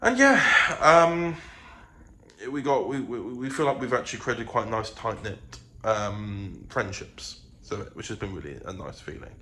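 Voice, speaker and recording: A man's voice: on the low side, sing-song, unhurried, pushed and bright. Casual rambling man, noisy recording. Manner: rambling, hesitant. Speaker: vlogger. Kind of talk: casual chat to camera. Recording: clear background noise.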